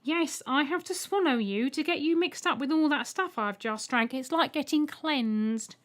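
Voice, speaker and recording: A woman's voice: high-pitched, normal speed, a bit bright, voice pushed up. A small male robot egg from a storybook , acted out by a woman, cheerful and oblivious. He explains things in a chirpy, matter-of-fact way and is eager to oblige.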